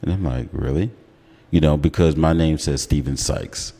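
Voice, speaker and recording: An American man with an extremely low, deep voice and a mellow tone. He talks casually and gives his opinions as a radio host, with care to sound out his words. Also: normal speed, gravelly. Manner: enunciates words carefully. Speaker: radio talk show host.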